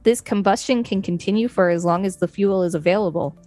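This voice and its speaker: A woman podcast co-host with a mid-pitched voice reads an article aloud and drops in casual asides. Faint music plays behind her. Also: a little fast, dark tone.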